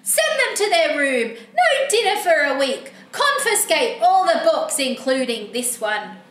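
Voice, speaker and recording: Woman acting a high, melodramatic, cranky storybook fellow. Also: very animated, a bit bright, exaggerated voice. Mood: cross, threatening. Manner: melodramatic, exclaiming, drawn-out pleading. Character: cranky, pleading male storybook character, dramatic and desperate. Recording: roomy echo, faint background noise.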